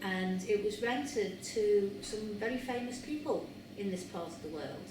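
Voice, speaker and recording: Woman guiding a tour in an echoey room. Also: medium pitch. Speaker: historic house tour guide. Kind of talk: informal guided tour talk. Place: large room inside an old house. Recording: echoey room, distant mic, background noise.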